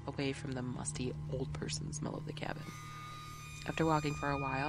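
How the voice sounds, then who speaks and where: A storyteller narrating a scripted horror tale in the first person, with a measured, suspenseful delivery and a creaky voice that turns breathy at the ends of phrases. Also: mid-range voice. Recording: music behind the voice. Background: background music.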